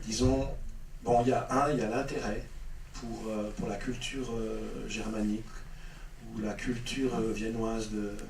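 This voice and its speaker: A middle-aged man, a film director, answering audience questions at a screening with many fillers and restarts. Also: mid-range voice. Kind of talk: spontaneous Q&A answers. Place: cinema hall. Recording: echoey cinema hall, distant mic, faint noise.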